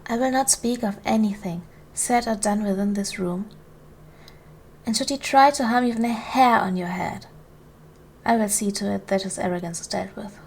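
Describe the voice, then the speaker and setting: A woman playing a gracious, commanding duchess in an ASMR roleplay, speaking in a formal, measured, soothing way to a servant she protects. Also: medium pitch, creaky, slight background noise, close to an everyday voice. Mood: firm, indignant. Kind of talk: ASMR roleplay, soft formal period speech.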